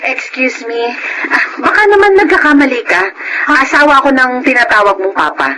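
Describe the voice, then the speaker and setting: Animated, possessive wife. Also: female voice, high-pitched, fairly quick. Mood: suspicious, indignant. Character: possessive, protective wife and mother. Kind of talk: Filipino radio drama acting. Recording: noisy radio drama audio.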